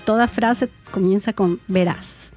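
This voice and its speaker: A woman's voice: medium pitch, a little slow, a little mellow, faint music behind. A woman from Quito with an Andean Spanish accent, reflecting in casual conversation. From Ecuador (Quito).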